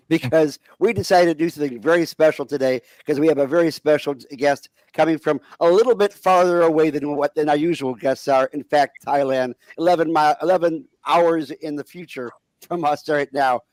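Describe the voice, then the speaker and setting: A man's voice: a little high, normal speed, darkish tone, no room sound, poor audio. A man hosting an online talk show over a video call, introducing guests and asking questions in a chatty, upbeat way. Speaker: show host.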